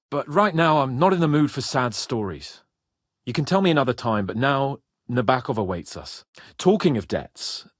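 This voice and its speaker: A man's voice: fairly high pitch, husky, no room sound, studio quality, exaggerated voice. A man voicing a haughty, hot-tempered young nobleman with an imperious, theatrical manner in a medieval role-playing video game.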